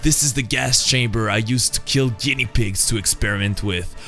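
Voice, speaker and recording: A man's voice: medium pitch, normal speed, bright, forceful tone, faint music behind, voice pushed down. A man voicing a gloating, murderous laboratory assistant villain in a comic-book drama, with a sinister cackling manner. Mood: gloating menace.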